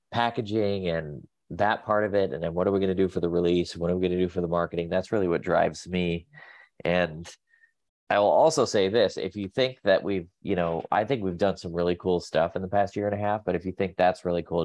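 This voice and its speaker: A man's voice: low voice, steady tone, a little fast, a little mellow, no room sound. A man in his thirties with a nasal voice and a cold, rambling with many fillers as a guest on a casual video call. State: sick with a cold. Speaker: guest, pet nutrition company staff. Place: home office.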